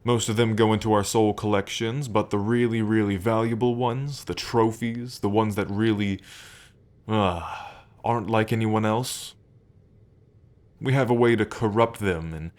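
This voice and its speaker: A man's voice: fairly low pitch, close to an everyday voice. A man playing a smug, teasing demon prince of pride in an ASMR roleplay, drawling and playfully taunting the listener. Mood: explaining.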